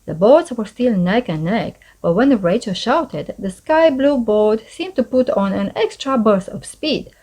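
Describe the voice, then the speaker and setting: A woman reading a children's storybook aloud as scripted narration, in an expressive storyteller's manner. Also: a little high, measured pace, few pauses, poor audio. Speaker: storybook narrator, channel host.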